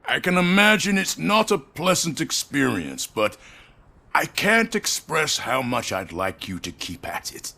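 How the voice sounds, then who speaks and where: An actor playing an old corporate chief, courteous and weary, speaking in a measured, formal way with quiet control. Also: male voice, on the high side, sing-song, vocal fry, heavily acted. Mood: measured, probing. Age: older. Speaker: company head. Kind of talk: video game dialogue acting.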